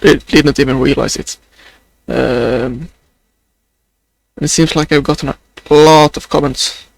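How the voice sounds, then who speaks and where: Young Swedish-accented male vlogger; slow, gravelly, dry close sound, hesitant delivery. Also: fairly high pitch, fairly even intonation, no room sound. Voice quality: sounds sad or close to crying. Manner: hesitant, many fillers, slow and halting. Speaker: video journal creator. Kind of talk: unscripted video diary.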